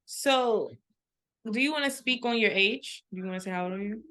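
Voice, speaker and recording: A woman's voice: medium pitch, a little fast, a bit bright. A woman hosting an interview, asking questions and affirming often with short agreements. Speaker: interview host.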